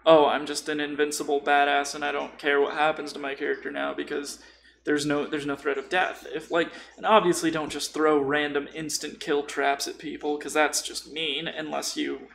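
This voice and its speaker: A man talks in a loose, informal ramble, as in a casual hobby podcast. His voice sits a little high for a man and has a lot of gravelly fry. A faint noise runs behind him. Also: little pitch movement, moderate pace, fairly bright tone, rough recording. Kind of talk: casual chat about tabletop role-playing.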